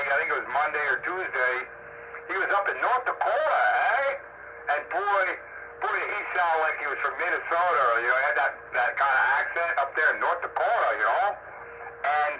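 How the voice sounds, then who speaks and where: Higher-voiced man on muffled, noisy ham radio. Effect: AM two-way radio transmission. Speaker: amateur radio operator. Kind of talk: casual on-air radio chat. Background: clear radio static and hiss.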